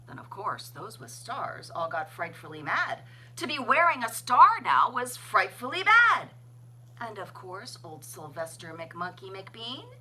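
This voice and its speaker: A woman's voice: mid-range voice, sharp tone, slight background noise, low-quality recording. A woman reading a rhyming children's story aloud with dramatic, playful emphasis. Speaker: children's library story reader. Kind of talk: expressive read-aloud storytelling in rhyme.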